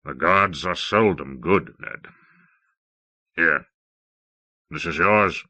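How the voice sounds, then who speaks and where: Brooding, short-fused monarch, audiobook fantasy, played by a male reader: low, hard-edged, blunt, drawn-out delivery. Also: no echo, full character voice. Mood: weary, gruff. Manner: blunt, drawn-out, forceful speech. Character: brooding, hot-tempered king, bitter and weary. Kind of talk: audiobook character acting.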